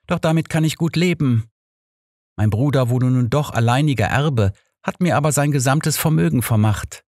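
Man acting grateful young woman, audiobook letter, earnest, measured delivery, dry recording. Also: mid-range voice, darkish tone, dry recording, lightly acted.

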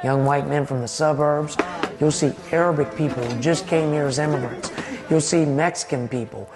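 A man's voice: fairly high pitch, fairly even intonation, normal speed, over music. A man enthusiastically describing a fight organization in documentary narration.

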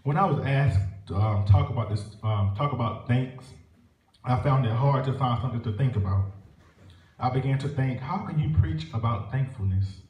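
A man's voice: medium pitch, normal speed, highs cut off, a little hiss or hum. A young man with a Southern US accent, preaching a sermon and leading prayer in a church sanctuary with rhythmic call-and-response delivery. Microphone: stand mic at pulpit, filmed by far camera. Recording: echoey church from far off. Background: organ music.

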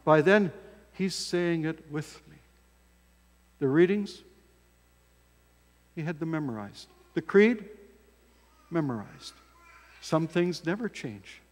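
An older man preaching from a church pulpit, folksy and emphatic, poking fun at himself as he goes. His voice sits a little high for a man, and it rings out with a long hall reverb that fills the sanctuary. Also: animated, slow pace, pauses often. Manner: folksy, self-deprecating, emphatic. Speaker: pastor. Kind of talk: sermon. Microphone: fixed camera from across the church.

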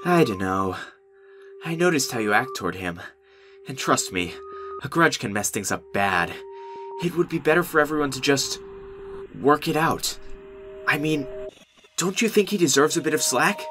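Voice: medium pitch, expressive, a bit bright, slight background noise, lightly acted. A performer voicing a young male goat monster, earnest and haunted by guilt, in an amateur comic dub. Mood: casual, careful. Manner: earnest, emotionally volatile, halting when ashamed.

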